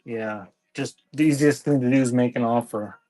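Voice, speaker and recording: A man's voice: mid-range voice, mellow, a little hiss or hum. Offhand man selling cassettes live. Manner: offhand, halting, thanks viewers by name. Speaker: live online seller. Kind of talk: casual live-stream selling.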